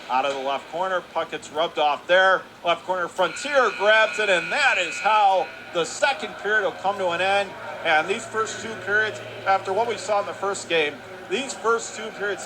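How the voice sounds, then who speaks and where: A man calls live hockey play-by-play on the radio, quick and tight, and he surges into near shouting on goals. His voice sits high for a man. Clear arena noise runs under the call. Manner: rapid excited calling that rises sharply on goals. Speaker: play-by-play announcer. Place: arena broadcast position. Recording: noisy arena broadcast.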